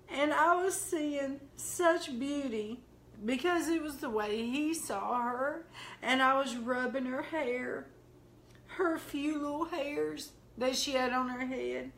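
Southern woman minister, middle-aged, slow heartfelt testimony delivery. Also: sits high, slight background noise, poor audio. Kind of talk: testimony-style storytelling in conversation.

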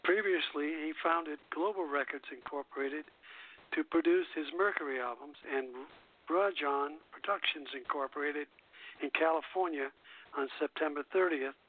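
A man with a slightly high voice hosts a radio show over a narrow, phone-like connection. He reads biographical notes from a script in a halting way, stumbling on words and correcting himself. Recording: thin, phone-like internet radio line.

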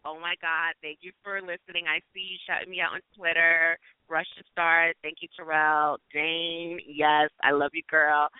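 A man's voice: a little high, flat delivery, moderate pace, smooth, no echo. A man hosting a call-in show, talking in an effusive, slangy way with a lot of swearing. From New York City. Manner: gushing, effusive, slangy and profane. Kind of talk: casual call-in podcast chat. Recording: tinny phone-line audio.

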